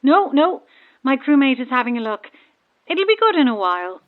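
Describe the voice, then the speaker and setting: Thin, narrow-band marine radio carries a stranded Irish woman boater. She is fairly high-voiced, breezy and self-deprecating, chatting in an audio drama style. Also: very animated, normal speed. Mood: breezy, chatty. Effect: boat radio filter. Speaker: caller from a stranded boat. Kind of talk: conversational horror audio drama acting.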